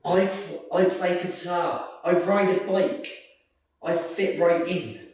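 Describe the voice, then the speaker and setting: A man's voice: high-pitched, steady tone, slow pace, darkish tone, slight background noise, pitched above the performer's own voice. A man voicing a small, wistful female misfit dog from a children's storybook, speaking in earnest rhyme. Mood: delighted. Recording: roomy echo, distant microphone.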